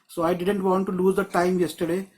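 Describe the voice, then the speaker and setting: A man's voice: high-pitched, flat delivery, a little fast, a little mellow. A man teaching a screenwriting class on a video call, explaining in a loose, rambling way with many restarts. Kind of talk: live online class.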